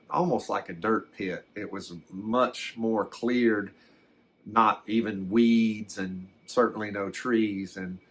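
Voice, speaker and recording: An older man, telling a personal story in a halting, reflective, folksy way. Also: fairly low pitch, fairly bright tone. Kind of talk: slow first-person storytelling.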